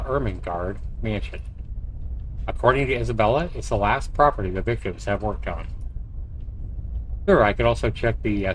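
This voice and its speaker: Low-voiced male streamer reading a story aloud. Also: a little hiss or hum, low-quality recording. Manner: storytelling read with short asides. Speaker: livestreamer, game player. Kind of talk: reading visual novel narration aloud on stream. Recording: faint music behind the voice. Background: faint game music.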